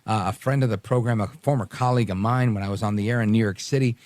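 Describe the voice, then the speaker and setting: A man's voice: on the low side, fairly even intonation, fast talker, darkish tone, no echo. A man hosting a late night talk radio show, greeting listeners with energy and leaning on fillers as he talks. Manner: upbeat, hypes the audience, many fillers.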